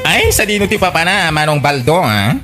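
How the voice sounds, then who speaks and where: A man with an Ilocano accent playing a nosy, teasing, excitable village busybody in a radio comedy drama. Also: fairly high pitch, moderate pace, over loud music, natural voice.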